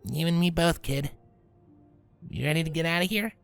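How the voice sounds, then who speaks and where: Guy doing a protective older sister pony, kinda high, soft and informal, storybook style. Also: moderate pace, gravelly, clear, resonant tone, soft background music. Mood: casual, reassuring. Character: protective big-sister pony, female, casual, caring. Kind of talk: dramatised storybook fan fiction reading.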